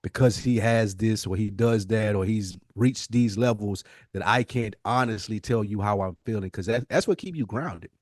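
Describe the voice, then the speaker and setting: A man with an American accent and a fairly low voice, talking casually on a podcast. He shares opinions as a host, and the sound is dry and close. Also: moderate pace, hardly any pauses, a little mellow, no room sound.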